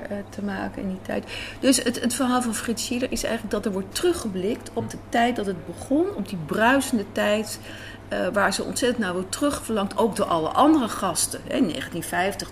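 Thoughtful woman over faint café noise. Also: medium pitch. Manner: thoughtful, searching for words. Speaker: radio drama writer and director, interviewee. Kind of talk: reflective interview talk.

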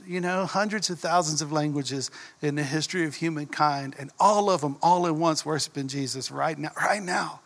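Preacher guy, bright kinda high voice, folksy, goes down to a whisper sometimes, big echoey church. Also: normal speed, vocal fry, few pauses. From Texas. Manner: folksy, animated, drops to a whisper for emphasis. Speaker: pastor. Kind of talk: sermon.

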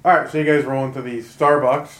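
A man in his forties narrating as game master, relaxed and easygoing. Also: medium pitch, quick pace. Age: 40s. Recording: roomy room, faint noise.

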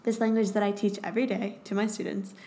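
A woman's voice: mid-range voice, level tone, warm tone. Young woman, American accent, podcast host, reflective scripted narration, faint hiss behind. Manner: measured, thoughtful, reads in short phrases. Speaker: podcast host and English teaching assistant.

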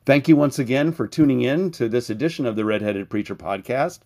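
A man giving a sermon as pastor, preaching in an earnest, measured way and reading scripture aloud. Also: medium pitch, a little mellow.

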